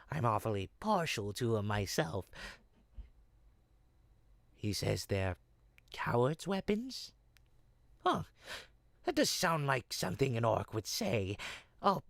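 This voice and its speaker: Raspy, sly old goblin peddler. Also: male voice, medium pitch, no echo, heavily acted. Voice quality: raspy, creaky. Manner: smooth-talking, wheedling, folksy street hawker. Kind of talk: character roleplay audio.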